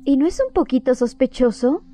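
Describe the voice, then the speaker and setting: A woman voicing a young female security guard who is jumpy and anxious, with a high, squeaky, excitable voice. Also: moderate pace. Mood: nervous. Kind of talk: fan dub acting.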